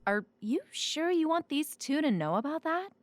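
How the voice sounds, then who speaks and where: A woman's voice: high voice, very animated, normal speed. An actress playing a blunt, flippant woman on the run in an audio drama, with a breezy, sardonic, casual delivery. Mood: blunt, deadpan.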